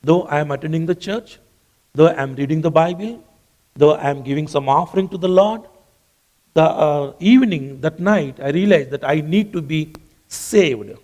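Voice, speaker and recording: A man's voice: a little high, moderate pace, darkish tone. A man whose first language is not English, preaching a sermon earnestly and repeating phrases for emphasis. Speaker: pastor.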